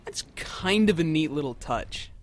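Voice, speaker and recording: A man co-commentating on a video game in casual banter, playful and quick with quips. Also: a little high, expressive, a little hiss or hum. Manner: playful, quipping. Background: video game sounds.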